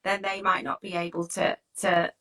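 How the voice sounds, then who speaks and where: Bright-voiced woman giving informal advice. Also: on the low side, level tone, moderate pace. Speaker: teacher well-being coach and host. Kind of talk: informal advice talk, conversational.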